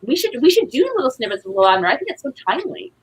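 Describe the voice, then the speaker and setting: A woman guest in a casual chat, excitable and rambling, jumping from one half-finished thought to the next. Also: fairly high pitch, very fast, slightly rough. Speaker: podcast guest, playwright.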